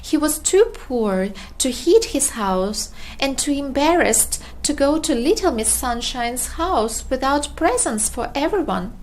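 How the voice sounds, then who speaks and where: A woman reading a children's storybook aloud in an expressive, deliberate storytelling manner. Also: high-pitched, rough recording. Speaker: storybook narrator.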